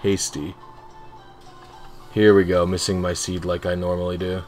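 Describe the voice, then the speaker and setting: Low-voiced man chatting on a game stream. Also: completely flat delivery, moderate pace, darkish tone, soft background music. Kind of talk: casual livestream chat.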